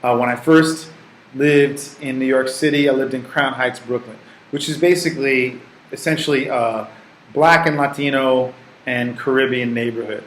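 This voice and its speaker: Relaxed reflective man in his forties. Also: mid-range voice, moderate pace, roomy sound, a little hiss or hum, rough recording. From United States. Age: 40s. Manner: relaxed, reflective, with fillers and restarts. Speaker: English teaching assistant. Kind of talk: answering interview questions to camera.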